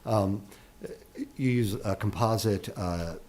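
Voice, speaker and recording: A man on a school board asking halting questions, with many restarts and fillers. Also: fairly low pitch, darkish tone, slight background noise.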